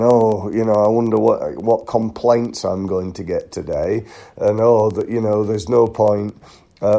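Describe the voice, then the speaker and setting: A middle-aged man, hoarse and gravelly from a bad cold, giving an informal motivational business podcast monologue as a coach. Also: lowish voice, mellow.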